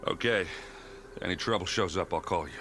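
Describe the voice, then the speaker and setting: Man acting a very deep, plain-spoken warrior. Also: brisk, noisy background, lightly acted. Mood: casual, puzzled. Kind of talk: video game voice acting.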